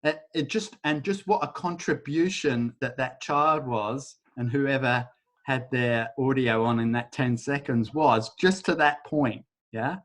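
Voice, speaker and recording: A man leading a live online workshop over a video call, talking in a casual, rambling way and softly chanting a repeated phrase. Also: mid-range voice, unhurried. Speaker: workshop facilitator.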